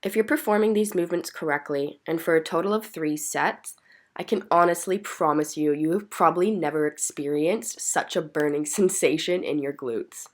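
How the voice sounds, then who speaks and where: Woman doing a workout voiceover, bit low pitched, sounds stuffed up, explains stuff and encourages you. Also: moderate pace. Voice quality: slightly stuffy, congested. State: stuffy from being sick. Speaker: fitness vlogger and workout instructor. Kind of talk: instructional workout voice-over.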